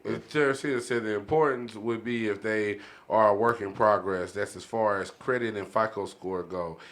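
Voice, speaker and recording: Bright, low-voiced male podcast host. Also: normal speed, reverberant. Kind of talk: casual chat, moderating a discussion.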